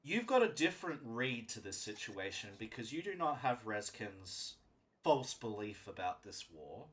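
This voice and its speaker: A man's voice: on the low side, very animated, moderate pace. A man narrating a live tabletop roleplaying game as game master, speaking emphatically and often checking in with his players.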